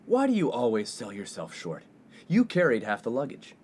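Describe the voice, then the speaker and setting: A man's voice: medium pitch, wildly swooping pitch, normal speed, darkish tone, slightly rough. A man playing a brash, self-absorbed college playboy in a comedic radio play, breezy and self-important. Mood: glib. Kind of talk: comedic 1950s-set radio play acting. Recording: faint background noise.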